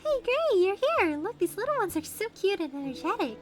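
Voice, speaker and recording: Woman playing a gentle, high-voiced fantasy scholar. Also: sing-song, brisk. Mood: kindly, composed. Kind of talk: reading game dialogue aloud. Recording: game music behind the voice.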